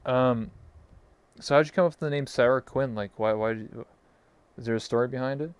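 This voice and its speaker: A man's voice: mid-range voice, fairly quick, smooth, no echo. A young man hosting a casual interview stream, rambling with lots of fillers. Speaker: talk show host.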